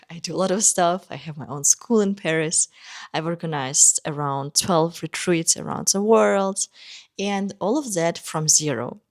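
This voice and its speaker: A young woman, a dancer and teacher, giving an earnest, encouraging talk to the camera in short listed phrases. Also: fairly low pitch. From Kazakhstan. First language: Russian.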